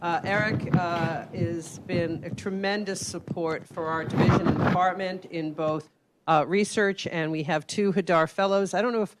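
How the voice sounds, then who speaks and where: A woman hosting a grand rounds lecture, making announcements and thanks in a halting way, full of fillers. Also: on the low side, steady tone, slight background noise. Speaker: host of the grand rounds, division director. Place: lecture hall.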